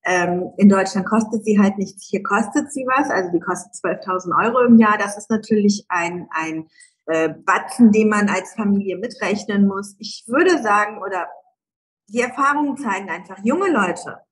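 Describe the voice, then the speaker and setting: A woman's voice: mid-range voice, moderate pace, rough recording. A middle-aged woman, a guest entrepreneur, talking casually in an interview, with many fillers and easy laughs.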